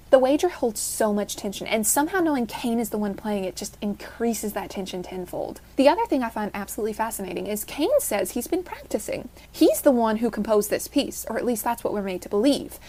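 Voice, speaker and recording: A woman's voice: medium pitch, expressive, a little fast, hardly any pauses, mellow. Articulate woman giving earnest fan commentary. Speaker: listener sending a voice message.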